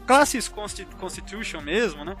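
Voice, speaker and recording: A man's voice: high voice, animated, moderate pace, sharp tone, faint music behind. A man with a Brazilian Portuguese accent in a casual podcast discussion, rambling chattily and adding frequent tag questions. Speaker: podcast panelist.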